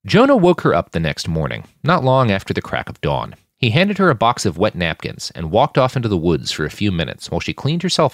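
A man's voice: fairly low pitch, no echo, studio quality. A man reading scripted fiction as an audiobook narrator.

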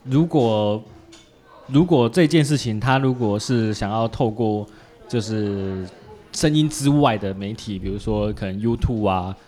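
Man, slightly low voice, Taiwanese Mandarin accent, podcast host, casual storytelling, colloquial particles. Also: measured pace, mellow.

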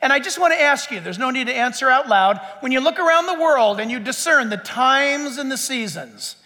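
A man's voice: very high-pitched, sharp tone, in a large hall. A man preaching a sermon as pastor, with an emphatic pulpit cadence.

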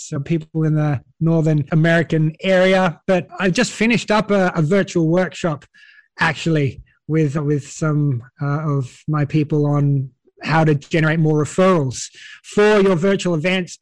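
A man's voice: on the high side, no echo. A man with an Australian accent, a podcast guest talking in an easygoing, upbeat way over a call. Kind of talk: casual interview talk. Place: home at night, video call.

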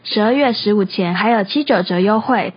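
A woman's voice: mid-range voice, moderate pace, slightly rough. A woman hosting and narrating a scripted comedic radio skit in storybook style. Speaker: host and narrator, bookstore clerk. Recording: slightly muffled recording.

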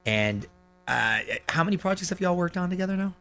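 A man's voice: high-pitched, lively intonation, vocal fry, bright, forceful tone. A man hosting a radio show, chatting casually with a guest and reading entertainment news in an upbeat way. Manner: upbeat, chatty, quick asides.

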